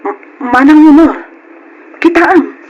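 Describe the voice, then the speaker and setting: An actress playing a concerned, respectful family friend in an old Ilocano radio drama, speaking earnestly and with worry. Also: female voice, high voice, takes it slowly, dull audio. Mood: alarmed. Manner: earnest, worried.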